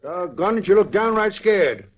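A man's voice: sits high, expressive, moderate pace, highs cut off. A man playing a blunt, folksy frontier telegraph operator in 1940s radio acting on an old recording.

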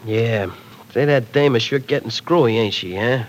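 A man's voice: medium pitch, normal speed, slight background noise, natural voice. An actor playing a slangy, pushy, streetwise young man in an old radio drama. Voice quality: slangy tough-guy speech. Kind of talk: 1940s radio acting.

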